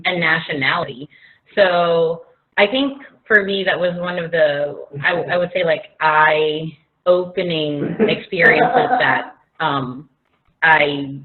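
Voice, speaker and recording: A woman from the Philippines with a slightly low voice chats as a guest. She speaks casually and reflectively. The sound is thin and phone-like, as heard over a video call. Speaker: guest, chef.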